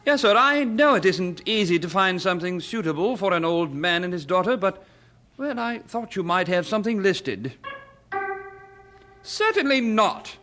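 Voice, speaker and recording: Casting: a fussy old gentleman in a radio play, high-pitched, swooping delivery, genial and talkative. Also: expressive, moderate pace, faint music behind. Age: older. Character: fussy elderly Englishman hunting for a house. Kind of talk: old-time radio drama acting.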